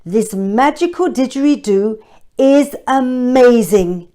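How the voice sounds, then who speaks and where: A woman's voice: a little high, expressive, takes it slowly, mellow. A woman voicing a mischievous crocodile henchman in a storybook, chummy and gloating. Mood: gleeful, gloating.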